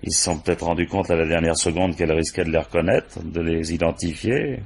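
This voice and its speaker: An actor playing a brusque, authoritative French police boss in a 1950s-style radio mystery, with curt colloquial phrasing. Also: male voice, sits low, brisk, vocal fry, a little hiss or hum. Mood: pondering. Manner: curt, authoritative, colloquial, frequent "bon" and "hein".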